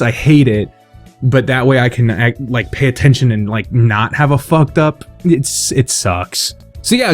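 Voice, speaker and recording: A man with a mid-pitched voice talks casually to his viewers as a video host. He rambles without a script, swears freely and pokes fun at himself. Music plays behind him. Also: darkish tone. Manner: sweary, self-deprecating, rambling. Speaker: video host announcing fan awards. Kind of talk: casual unscripted monologue to viewers.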